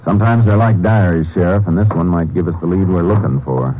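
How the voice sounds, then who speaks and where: A man playing a steady, businesslike Texas Ranger in a 1950s radio crime drama, speaking in a terse, methodical way. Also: deep voice, a little fast. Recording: muffled old radio recording, music behind.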